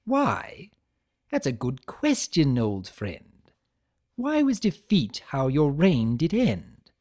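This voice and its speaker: A man voicing a mischievous chaos spirit in a fantasy story, energetic, coy and theatrical, with a teasing way of talking. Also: a little high, slow pace, full character voice.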